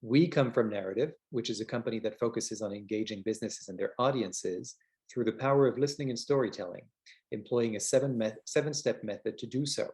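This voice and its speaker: A man's voice: on the low side, brisk, a little mellow. A man co-hosting a podcast in a conversational interview style, often searching for words and circling back. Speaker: podcast co-host.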